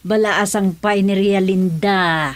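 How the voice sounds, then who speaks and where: A woman's voice: medium pitch, fairly even intonation, slow, close to an everyday voice. An actress playing a kindly older woman with an Ilocano accent in a radio comedy drama, speaking in a motherly, conversational way. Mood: easygoing.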